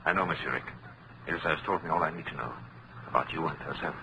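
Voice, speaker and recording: An actor playing a dignified, earnest resistance leader in a 1940s radio drama, formal and composed. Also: male voice, a bass voice, fast talker, sharp tone, exaggerated voice. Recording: slightly noisy old radio recording.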